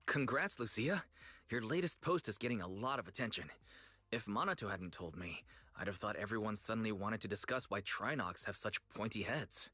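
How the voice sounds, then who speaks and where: An encouraging, good-humoured young man in an anime game. His voice sits fairly low yet has a clear, bright edge, with a gently wry delivery. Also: animated, normal speed, no echo. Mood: amused.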